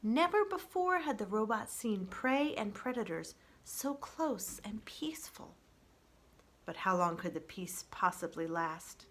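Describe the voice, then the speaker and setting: A woman reading a children's story aloud in an expressive storybook narration style, as a librarian would. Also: mid-range voice, unhurried.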